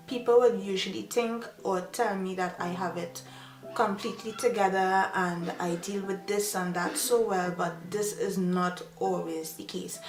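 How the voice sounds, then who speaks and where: A young woman from Trinidad with a Trinidadian accent, giving earnest, reflective advice in a casual vlog, with frequent fillers. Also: mid-range voice. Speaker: vlogger. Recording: echoey room with faint music. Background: soft piano music.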